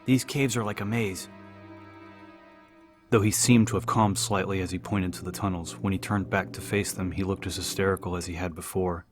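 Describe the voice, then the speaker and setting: A man's voice: low-pitched, level tone, a little fast, few pauses, soft background music. A man giving a dramatic scripted narration as an audiobook narrator.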